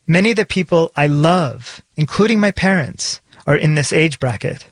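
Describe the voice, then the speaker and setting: A man's voice: on the high side, animated, normal speed, gravelly, no echo. A man hosting a podcast, reading a scripted, personal narration. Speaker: podcast host. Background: music.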